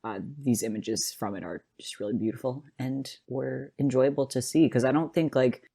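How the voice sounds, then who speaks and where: This is a podcast host with a medium-pitched voice. The talk is casual and conversational, with loose phrasing and plenty of "like". The delivery sounds enthusiastic about the subject. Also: normal speed, vocal fry, mellow, husky. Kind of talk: casual fan podcast chat.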